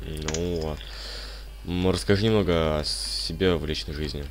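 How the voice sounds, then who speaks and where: Male interviewer, very deep voice, under the weather, terse questions. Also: fairly even intonation, unhurried, a little hiss or hum. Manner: short, plain questions. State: a bit ill. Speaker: interviewer, channel host. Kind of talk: casual interview.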